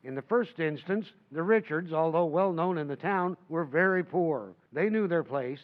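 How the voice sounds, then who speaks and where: An actor playing a writer-storyteller who explains the tale in an old-time radio drama. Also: male voice, fairly high pitch, lively intonation, moderate pace, narrow, tinny audio, close to an everyday voice. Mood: explanatory.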